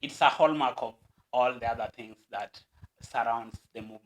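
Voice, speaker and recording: A man's voice: medium pitch, moderate pace, fairly bright tone, poor audio. A Kenyan man, a guest, explaining a process earnestly and at length, with stammered restarts.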